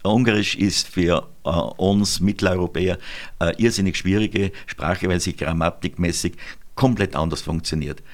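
Low-voiced guy hosting a radio chat, explains stuff, says äh a lot. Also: normal speed. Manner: chatty, explanatory, frequent "äh". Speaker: radio host. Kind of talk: radio conversation.

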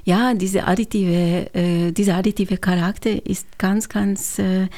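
A Hungarian-born woman, a German teacher, talking as a guest in a thoughtful, measured way with pauses and fillers. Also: medium pitch, fairly even intonation, mellow. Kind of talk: radio conversation.

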